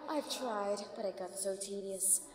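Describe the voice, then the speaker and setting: A woman's voice: mid-range voice, a little slow, reverberant, long reverb tail, music in the background, close to an everyday voice. A woman voicing a smirking, dismissive female pony with a taunting, jaded manner in an amateur fantasy audio drama.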